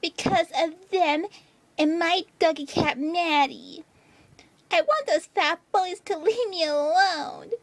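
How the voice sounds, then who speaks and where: A woman's voice: very high voice, slow, no room sound, pitched above the performer's own voice, full character voice. A woman voicing a whiny, aggrieved young female artist, reading out online posts in a melodramatic, complaining way. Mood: self-pitying.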